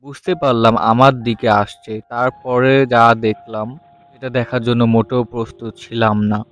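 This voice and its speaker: A man narrating a scripted horror story in the first person with deliberate, suspenseful delivery. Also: medium pitch, little pitch movement, slight background noise.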